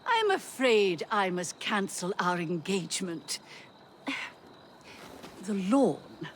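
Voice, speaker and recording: A woman's voice: medium pitch, lively intonation, slow pace. An actress playing an imperious, playful older society lady with an upper-class English accent, theatrical and coquettish, in a video game. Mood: haughty, dismayed. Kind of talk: video game character acting. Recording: clear background ambience noise.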